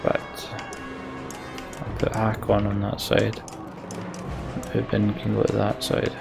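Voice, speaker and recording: Male let's-play host, lowish gravelly voice, muttering his way through a game with music underneath. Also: completely flat delivery, slow pace. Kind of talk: casual game commentary and reading on-screen story text aloud. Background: video game music.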